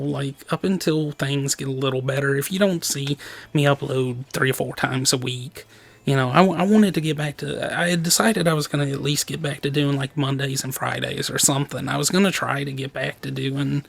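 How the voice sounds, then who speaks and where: Casting note: worn-out, hoarse man talking into his desk mic, gravelly, halting and rambling. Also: medium pitch. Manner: rambling, halting, with many restarts and nervous laughs. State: tired. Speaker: gaming channel host. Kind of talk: casual personal update to viewers. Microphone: desk microphone.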